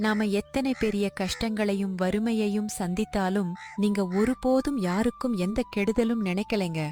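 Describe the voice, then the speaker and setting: A woman's voice: mid-range voice, fairly even intonation, moderate pace, warm tone, faint music behind, lightly acted. A woman playing a devoted, respectful, devout village wife in a dramatised moral story. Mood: proud.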